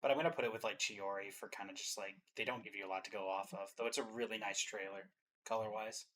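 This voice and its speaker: A man's voice: lowish voice, steady tone, fast talker, fairly bright tone. A man chatting casually as the host of a reaction show.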